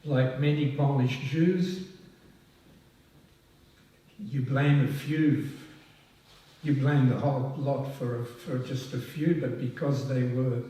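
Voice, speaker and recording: An older man, born in France, giving a halting, self-deprecating speech at a ceremony, full of ums and restarts. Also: mid-range voice, steady tone, dark tone. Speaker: past president honoured at a society oration. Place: large hall at a public event. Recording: echoey hall, voice from far off. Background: audience laughing.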